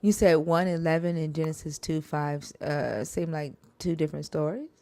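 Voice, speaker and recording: A woman with a low voice hosts a casual live stream, answering questions in a halting, restarting way. Her voice sounds close and dry, with no room around it. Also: fairly even intonation, mellow, no room sound. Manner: halting, many fillers and restarts.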